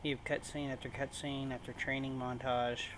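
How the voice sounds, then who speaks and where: Male gaming host, casual let's-play commentary, mid-pitched voice, halting unscripted delivery, slight noise. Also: flat delivery. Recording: faint noise in home recording.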